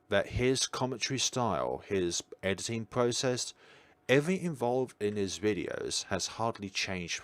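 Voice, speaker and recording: Tired low-voiced man chatting on stream. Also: fairly bright tone, dry recording. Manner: musing, reading chat aloud. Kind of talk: casual livestream chat.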